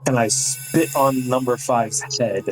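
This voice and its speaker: A man plays a tabletop game, chatting in a playful, jokey way. He takes his time, at an average pitch. Music is clearly audible behind him. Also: fairly even intonation, slow, rough recording. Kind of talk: casual chat during a game. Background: clearly audible background music.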